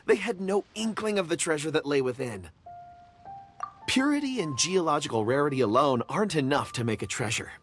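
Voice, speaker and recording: A man's voice: a little high, a bit bright. A man voicing an absent-minded rock enthusiast in a video game, polite, wordy and slightly old-fashioned.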